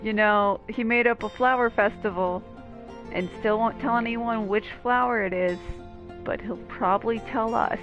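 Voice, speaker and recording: A woman's voice: a little high, fairly even intonation, normal speed, muffled recording. A woman giving casual, wry commentary as she plays a game. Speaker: game streamer. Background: game music.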